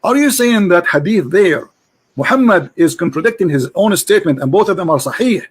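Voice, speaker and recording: A man with a notably high-pitched voice drives a heated debate, hammering his caller with the same questions over and over. He talks insistently, pressing for yes-or-no answers without letting up. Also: animated, fairly quick, breathy edge. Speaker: debate host.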